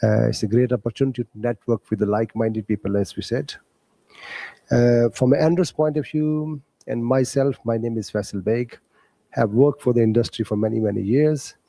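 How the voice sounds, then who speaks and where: A man introducing himself and his company at a trade expo, polite and a little halting. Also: medium pitch, fairly even intonation, gravelly, warm tone, no echo. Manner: polite, brief, slightly halting. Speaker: company director. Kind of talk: introduction speech.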